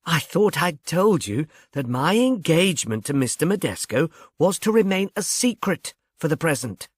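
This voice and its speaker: A man's voice: a little high, very animated, normal speed, husky, no echo, studio quality, voice pushed up. Man voicing a sardonic elderly society mother. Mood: exasperated. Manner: tartly amused, condescending.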